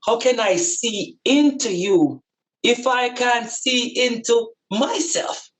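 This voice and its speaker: A man's voice: very high-pitched, lively intonation, slow pace, fairly bright tone. A man with a Jamaican accent giving a reflective spiritual talk in an emphatic, preaching manner, with repeated phrases and rhetorical questions.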